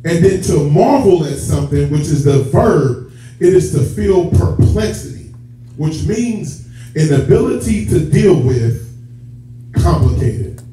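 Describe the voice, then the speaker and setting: A man's voice: fairly high pitch, expressive, measured pace, warm tone, faint music behind. Muffled, echoey male preacher from far off. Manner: conversational, addresses listeners directly, stretches key words for emphasis. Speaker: preacher, church elder. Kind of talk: sermon to a congregation. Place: church sanctuary with stage and rows of seats. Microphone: far camera at back of hall.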